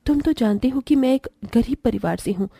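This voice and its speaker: A woman acting a humble young daughter-in-law in a cartoon story, earnest and respectful. The voice is high and mellow with a husky, breathy edge. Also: lively intonation, a little fast, dry recording, studio quality. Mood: troubled. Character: gentle, principled poor young daughter-in-law.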